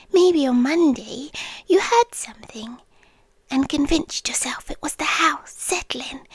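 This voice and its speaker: A British woman playing an obsessive, creepily devoted yandere girlfriend in an intimate ASMR roleplay, breathy and often whispering, lingering on short phrases. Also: sits high, no echo. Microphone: close microphone, dry intimate recording.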